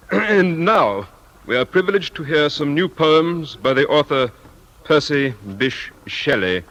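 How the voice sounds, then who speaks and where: An actor in a 1950s satirical radio play playing a polite, pompous poetry-circle host, formal, obsequious and easily flustered. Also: male voice, a little high, lively intonation, slow pace, a bit bright, heavily acted. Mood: gracious.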